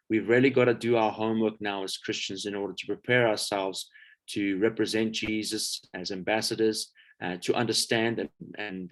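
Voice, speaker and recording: Man, guest lecturer, low voice, relaxed and chatty, frequent filler pauses, speaking over a video call. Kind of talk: informal lecture.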